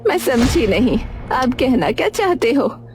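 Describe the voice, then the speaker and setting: A woman's voice: high-pitched, big pitch swings, a little slow, darkish tone, faint music behind. A woman playing a poor, meek old village widow in a cartoon story, hesitant and pleading. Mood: polite, puzzled. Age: older. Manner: hesitant, pleading, stammers when upset. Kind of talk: Hindi cartoon story acting.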